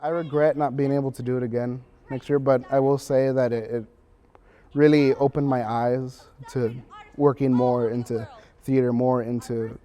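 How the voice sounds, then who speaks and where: A man's voice: mid-range voice, level tone, normal speed, mellow. A teenage young man, a student actor, answering an interview in a hesitant, earnest way. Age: teens.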